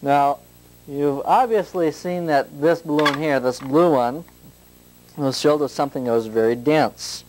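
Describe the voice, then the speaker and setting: A man with a slightly high voice gives an informal chemistry demonstration. He is in his thirties and explains things in a playful, jovial way, as a professor would in a classroom. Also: a little slow, darkish tone, a little hiss or hum, low-quality recording. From United States. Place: school science classroom.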